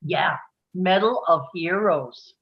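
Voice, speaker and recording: Through a dull, lo-fi mic comes a woman playing a battle-weary space marine in a cartoon. The delivery is slow, low-key and grim, with clipped military phrasing and a frazzled edge. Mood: terse. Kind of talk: animated film script table read.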